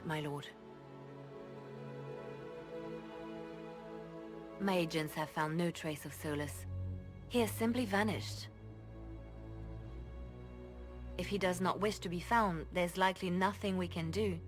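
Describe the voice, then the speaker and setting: A woman's voice: on the low side, normal speed, lightly acted. Woman acting as a composed, shrewd spymaster. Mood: grave, sympathetic. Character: composed, shrewd female spymaster advising her lord. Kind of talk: video game cutscene voice acting. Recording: music behind the dialogue.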